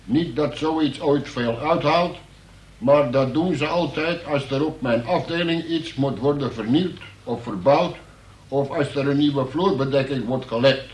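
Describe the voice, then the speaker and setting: An actor playing a dutiful police sergeant who recites a statement in court in a formal, plodding radio-play manner. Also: male voice, medium pitch, measured pace, natural voice. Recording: roomy, slightly distant radio recording.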